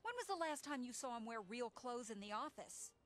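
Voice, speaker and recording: An actress playing a worried, wry woman devoted to a deluded boss in an animated series, with a sardonic, world-weary manner. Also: on the high side, fairly quick, faint music behind. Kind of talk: animated superhero series acting.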